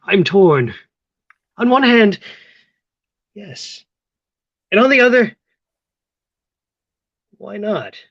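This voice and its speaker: A woman's voice: mid-range voice, sing-song, extremely slow, pauses often, no echo, lo-fi sound, full character voice. A woman playing a flustered, love-hungry neighbour of forty-two in a play, hesitant and theatrically torn. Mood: tempted, torn. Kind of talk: play table read.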